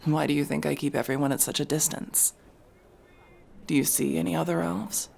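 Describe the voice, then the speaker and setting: A man playing a guarded, world-weary elf mercenary, centuries old but young-looking, in an intimate, brooding ASMR fantasy roleplay with a breathy, gravelly, halting delivery. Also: on the high side, fairly even intonation, a little mellow. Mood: defensive, pained. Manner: brooding, halting, often dropping to a whisper.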